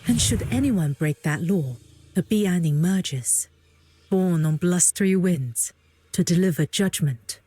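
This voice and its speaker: An actress voicing a solemn narrator of law and judgment in a video game, breathy and dark. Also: female voice, fairly low pitch, expressive, slow pace, faint music behind, exaggerated voice.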